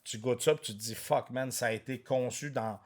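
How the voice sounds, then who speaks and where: A man's voice: medium pitch, fairly even intonation, fairly quick. A man in his forties with a Quebec French accent, born in France, chatting casually as a podcast guest, swearing freely and stumbling over repeated words. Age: 40s. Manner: laid-back, swears a lot, stammers on repeated words.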